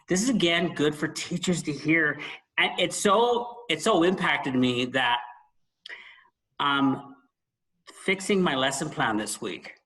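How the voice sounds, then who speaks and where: A man hosting a conversational podcast about books, speaking emphatically and pausing for dramatic effect. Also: a little high, expressive, bright, forceful tone, low-quality recording. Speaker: podcast host. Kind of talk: conversational book discussion.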